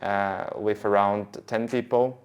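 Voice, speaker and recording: A man's voice: fairly low pitch, fairly even intonation, slow. A man in his thirties from Brazil, giving long, earnest interview answers with many fillers. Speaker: guest.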